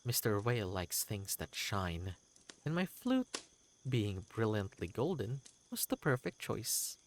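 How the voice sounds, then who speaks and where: Guy doing a whimsical creature storyteller for a fantasy game, super animated pitch, takes his time, kinda boastful and polite. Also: slow, slight background noise, full character voice. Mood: proud, whimsical. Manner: theatrical, whimsical, courteous. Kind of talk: character voice in a fantasy game reading.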